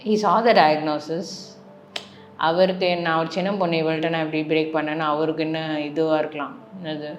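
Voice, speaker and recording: A woman's voice: sits low, flat delivery, racing, reverberant, faint music behind. A young woman from Chennai with a South Indian accent, speaking candidly and reflectively as she tells a personal story in a casual vlog. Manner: switches between English and Tamil, candid and reflective. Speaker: vlogger.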